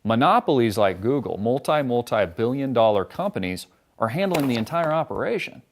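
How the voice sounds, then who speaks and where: A man's voice: mid-range voice, very animated, brisk, slight background noise. A man, ranting sarcastically and combatively in profane political commentary.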